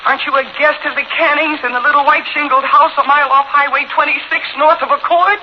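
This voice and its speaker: Casting: woman to play cunning, embattled housewife in 1940s radio thriller; high-pitched, dramatic, slightly noisy recording. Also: steady tone, quick pace, close to an everyday voice. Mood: mocking. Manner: dramatic, pointed, sarcastic. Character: defiant, frightened young mother held hostage, cunning. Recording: dull 1940s radio recording, faint noise.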